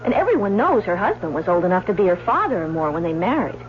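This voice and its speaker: A woman's voice: fairly low pitch, sing-song, fast. An actress playing a devoted, spirited young woman in love in an old-time radio drama. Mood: teasing. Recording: muffled, noisy old radio recording.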